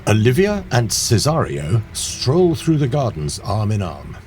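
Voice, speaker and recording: A man narrating a comedy audio drama as a lively, dramatic storyteller. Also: on the low side, measured pace, faint music behind. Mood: animated storytelling.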